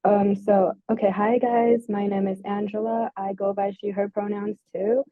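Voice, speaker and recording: Muffled, even-toned young woman on a call. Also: mid-range voice, moderate pace, no room sound. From Hong Kong, China. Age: 20s. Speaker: graduate student panelist. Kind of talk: casual chat. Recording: muffled, band-limited video call.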